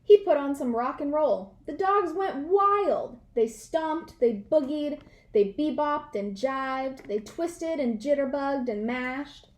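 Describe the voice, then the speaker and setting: High-voiced woman reading a children's story. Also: lively intonation, takes it slowly. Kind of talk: animated children's read-aloud narration.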